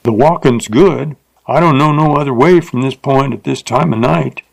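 A man voicing an uncouth, leering frontier rider with a rough drawl and bad grammar. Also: medium pitch, moderate pace. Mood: gruff, mocking.

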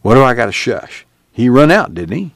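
A man reading the part of a gruff, stubborn farm father who grumbles in rural speech. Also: medium pitch, very animated, moderate pace, no room sound, studio quality. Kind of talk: reading a novel aloud.

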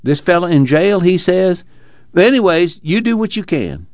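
A man voicing an earnest, excitable but weary labor organizer in an audiobook, pleading in a folksy dialect. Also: sits high, normal speed, no room sound, dull audio, voice pushed up. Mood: weary, reflective. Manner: rural uneducated American dialect, pleading and preaching.

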